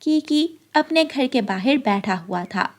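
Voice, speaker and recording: A woman's voice: sits high, expressive, slow pace. A woman narrating a scripted moral story for children as the storyteller.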